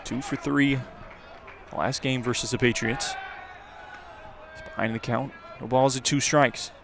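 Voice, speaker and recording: A man's voice: medium pitch, steady tone, a little slow, crowd noise. A man giving live play-by-play baseball commentary as a radio announcer. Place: outdoors at a baseball stadium. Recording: clear outdoor ambient noise.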